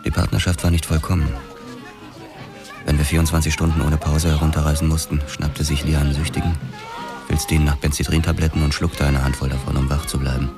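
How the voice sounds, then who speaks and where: A hard-boiled boxer-cop tells his story in a very low, bottomed-out voice. His delivery is terse and grim, in the style of a noir radio drama. Music plays clearly underneath. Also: level tone, fairly quick. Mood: matter-of-fact. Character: hard-boiled boxer turned Los Angeles policeman narrating his past. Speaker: narrator and lead actor. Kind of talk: scripted first-person noir radio drama narration. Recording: music behind the narration.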